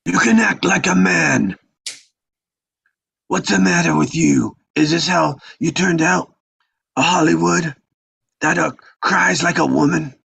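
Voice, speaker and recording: An actor playing a stern, commanding old mafia patriarch with a gravelly, husky voice in a script table read. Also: male voice, a little high, unhurried, pauses often, fairly bright tone, heavily acted. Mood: scolding, scornful.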